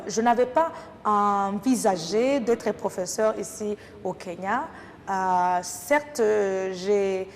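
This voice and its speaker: A woman from Rwanda with a Rwandan French accent, answering interview questions reflectively, with hesitant phrasing. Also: medium pitch. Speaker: interview guest, French language teacher. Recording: noisy, echoing hall sound with long reverb.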